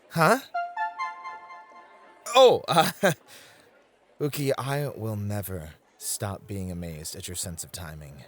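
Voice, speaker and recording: A man's voice: lowish voice, full character voice. A man playing a charming, gallant club host in an ASMR roleplay, flirty and teasing in an effusive, courtly way. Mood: amused.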